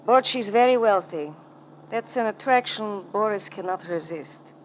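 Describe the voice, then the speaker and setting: An actress playing an elegant, wistful ballerina with formal, melodramatic speech in a 1940s radio drama. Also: female voice, medium pitch, animated, unhurried, lightly acted. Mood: weary, resigned. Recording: noisy old radio recording.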